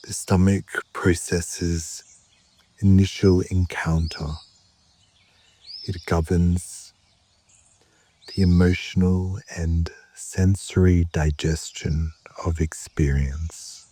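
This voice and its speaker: A man guiding a meditation in a calm, measured way, with short phrases, long pauses and a soft, at times breathy voice. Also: deep voice. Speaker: yoga nidra meditation guide. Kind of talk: slow guided meditation narration.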